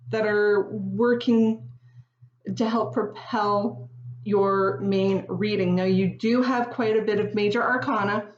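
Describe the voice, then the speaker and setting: Casting: woman in her forties, slightly raspy, chatty tarot reader talking straight to viewers, dull echoey room recording. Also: fairly high pitch. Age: 40s. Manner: chatty, frequent ums, addresses viewers directly. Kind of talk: casual tarot reading to camera.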